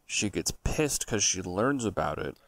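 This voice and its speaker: A man with a low voice that crackles with heavy fry, recorded close and dry. He co-hosts a casual podcast, swearing freely and leaning hard on his points as he talks. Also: lively intonation, measured pace, a bit bright, no room sound. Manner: profane, blunt, emphatic. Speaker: podcast co-host. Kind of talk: casual banter and storytelling.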